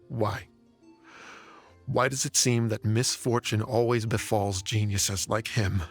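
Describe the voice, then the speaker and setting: A man playing a reclusive, grieving scholar in an anime game, subdued and halting. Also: on the low side, fairly even intonation, soft background music. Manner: melancholy, halting, sighing. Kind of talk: English dubbed anime game acting.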